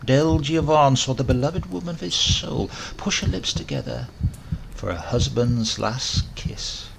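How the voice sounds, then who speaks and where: A man narrates gothic horror in a theatrical, formal style. His mid-pitched voice has a creaky, gravelly edge and lands words with emphasis. The recording carries clear noise and clicks. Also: measured pace. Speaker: audiobook narrator. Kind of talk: dramatic scripted horror narration.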